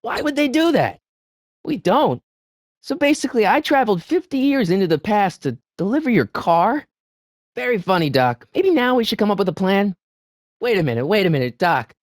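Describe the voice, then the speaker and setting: An actor playing an excitable, good-hearted teenage boy in an adventure video game, with hesitant stammers and an incredulous way of questioning things. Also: high-pitched, normal speed, no room sound, studio quality. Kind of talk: animated video game voice acting.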